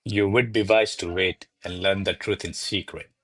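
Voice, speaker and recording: A man's voice: low-pitched, steady tone, normal speed, a bit bright, dry recording. A man playing a deferential, flustered servant to a noble lady in a radio play, speaking formally and placatingly. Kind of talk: radio play acting of a classic comedy.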